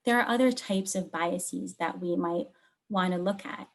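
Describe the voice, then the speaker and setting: A woman from Lebanon giving an explanatory research talk, speaking in short, measured phrases and stressing key words. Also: fairly low pitch, vocal fry. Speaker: academic researcher presenting.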